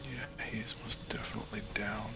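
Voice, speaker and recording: A man chatting casually as he plays a game, in a low-key mutter. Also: steady tone, brisk, in a whisper. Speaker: co-op gaming partner. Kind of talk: casual chat while gaming. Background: video game music.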